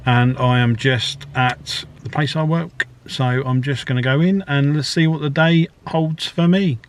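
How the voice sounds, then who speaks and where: A man co-hosting a video diary, talking to the camera in a relaxed, plain way. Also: mid-range voice, measured pace, background noise. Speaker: vlog co-host.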